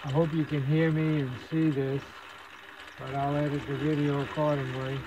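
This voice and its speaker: Higher-pitched man over clear background noise. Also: little pitch movement, normal speed. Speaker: hobbyist host demonstrating radio repair. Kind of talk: casual hands-on demonstration narration. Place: indoor electronics workbench.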